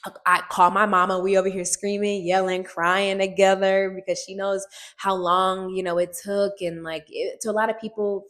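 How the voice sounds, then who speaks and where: A woman, an actress, sharing a personal testimony to the camera in a casual, animated, emphatic way, recorded on a film set. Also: mid-range voice, moderate pace. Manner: animated, emphatic storytelling, often repeats phrases.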